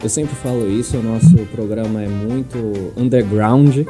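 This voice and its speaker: A man's voice: medium pitch, normal speed, dark tone. A man in his thirties with a Brazilian accent co-hosting a casual podcast interview, explaining things conversationally. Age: 30s. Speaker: podcast co-host. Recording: music in the background.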